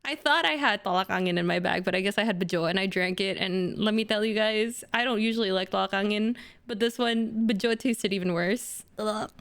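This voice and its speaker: Bright, giggly woman streamer. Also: medium pitch, fairly quick. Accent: light Indonesian. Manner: chatty, giggly, jokey. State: unwell, stomach upset. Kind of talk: casual chat with stream viewers.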